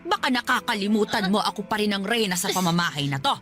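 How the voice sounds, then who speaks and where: A woman's voice: mid-range voice, expressive, fast, a bit bright, faint music behind, lightly acted. An actress playing a cruel, haughty older mother-in-law in a Filipino radio drama, scolding with sarcasm and contempt. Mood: accusing, contemptuous.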